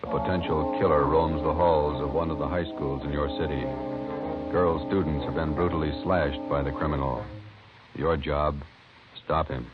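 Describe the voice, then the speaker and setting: A very deep-voiced American man narrates an old radio crime show. He is grave and portentous. The recording is muffled, with loud music behind him. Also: steady tone, normal speed. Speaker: announcer. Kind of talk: 1950s radio scripted narration.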